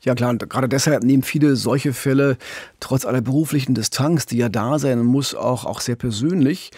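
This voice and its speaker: A man's voice: medium pitch, moderate pace, a little mellow, breathy edge, dry recording. A man with a German accent, co-hosting a podcast and telling a true-crime case in a conversational way. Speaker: podcast co-host.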